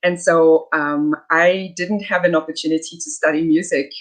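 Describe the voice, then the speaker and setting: A middle-aged South African woman, a soprano, talking warmly as a guest in a conversational interview over a video call, with many fillers. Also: sits low, fairly even intonation, normal speed. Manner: warm, gracious, many fillers.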